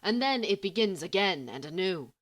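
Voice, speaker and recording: A woman reading a story as scripted narration, expressively and with deliberate, emphatic phrasing. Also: mid-range voice, expressive, a bit bright. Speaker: audiobook reader of fan fiction.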